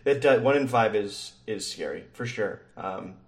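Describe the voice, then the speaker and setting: A young man talking casually on a sports podcast. His voice sits low, and his talk rambles, full of fillers and easy chuckles. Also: lively intonation, unhurried. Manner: rambling, lots of fillers, laughs easily. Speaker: podcast co-host. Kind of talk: casual chat.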